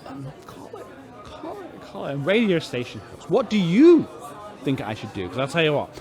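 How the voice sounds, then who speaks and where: An actor playing a self-doubting, poetic spaceship captain in a sci-fi radio drama, rambling theatrically. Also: male voice, fairly high pitch, huge pitch swings, normal speed, a little mellow, some chatter behind. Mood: despairing, self-pitying.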